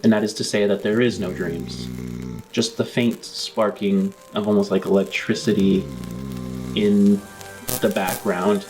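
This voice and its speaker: A man narrating a tabletop game as game master, in deliberate phrases with frequent pauses. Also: fairly low pitch, darkish tone, faint music behind, poor audio. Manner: deliberate, pausing often between phrases.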